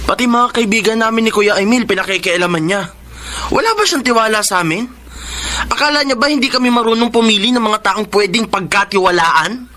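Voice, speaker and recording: A man's voice: sits high, a little fast, sharp tone. An actor playing a boyish, eager younger son in his twenties, pleading his case in a Filipino radio drama. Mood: protesting, pleading. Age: young adult.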